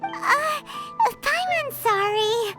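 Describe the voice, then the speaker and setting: A woman voicing a cheeky, tiny, childlike flying companion in a video game, with a squeaky voice. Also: expressive, extremely slow, loud music behind the voice, exaggerated voice. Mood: cheeky, sheepish.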